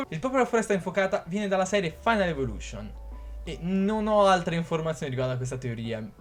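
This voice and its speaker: Higher-voiced young man reading a script. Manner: reads from a script with occasional stumbles. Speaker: YouTube narrator. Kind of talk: scripted explainer narration.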